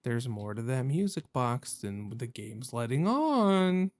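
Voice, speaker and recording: A man's voice: mid-range voice, very animated, takes it slowly. A man doing casual let's-play commentary as a gaming host, joking and self-deprecating in slangy talk.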